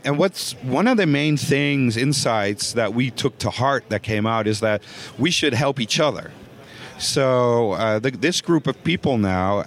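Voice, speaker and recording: A Dutch-accented man speaks as a podcast guest, at an ordinary male pitch. He talks earnestly but haltingly, with frequent fillers and restarts as he searches for words. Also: a bit bright. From Netherlands. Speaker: guest, voice technology consultant. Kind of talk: podcast interview.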